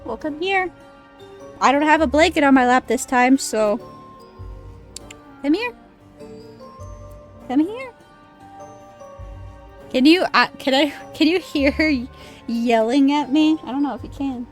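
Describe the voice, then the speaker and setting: Slow, rambling woman streamer, higher voice. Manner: rambling, giggly, swears freely. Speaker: live streamer playing a video game. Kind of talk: casual gameplay stream chat. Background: game music.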